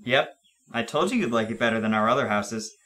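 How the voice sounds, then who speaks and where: A man's voice: medium pitch, lively intonation, fairly quick, fairly bright tone, close to an everyday voice. A man playing an easygoing, reassuring father in an amateur radio play, speaking patiently. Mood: upbeat. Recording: noisy room, hum behind voice.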